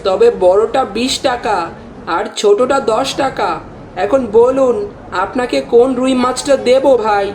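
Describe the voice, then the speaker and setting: A woman's voice: on the high side, measured pace, darkish tone, a little hiss or hum, natural voice. A woman voicing an obliging village fish seller in a cartoon, with polite, businesslike sales talk.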